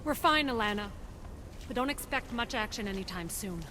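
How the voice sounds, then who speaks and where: A caring, firm woman doctor in a video game, played by an actress, anxious and reproving. Her pitch moves in a lively way as she speaks earnestly, with noise behind her. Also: normal speed, natural voice. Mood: conciliatory. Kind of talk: video game cutscene acting. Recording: background noise, game ambience.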